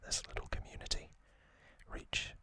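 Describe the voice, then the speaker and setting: A man hosting ASMR, narrating in hushed whispers with frequent pauses and a gentle, reassuring manner. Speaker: ASMR host.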